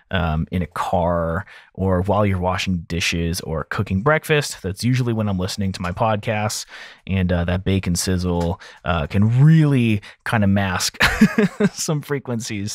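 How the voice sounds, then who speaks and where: A man's voice: fairly low pitch, dry recording. A man in his thirties giving a casual tutorial as an audio engineer, close on a broadcast mic. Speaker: audio engineer host of a mixing series. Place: small home studio room, indoors. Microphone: close large broadcast mic on an arm.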